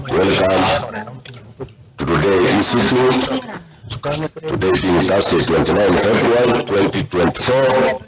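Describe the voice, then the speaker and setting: A man with a Kenyan accent chairing an assembly in a formal, measured, procedural way. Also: low-pitched. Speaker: speaker of a county assembly. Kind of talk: formal parliamentary address. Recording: noisy live assembly recording.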